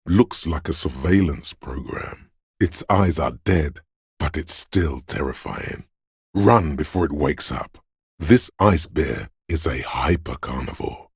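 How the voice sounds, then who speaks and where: A man voicing a paranoid, aggressive inner voice of primal fear in a video game, menacing, urgent and ominous, with a gravelly, husky sound. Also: a bass voice, animated, slow pace, no echo, studio quality, exaggerated voice. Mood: ominous, fearful. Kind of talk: video game voice acting, second-person dramatic narration.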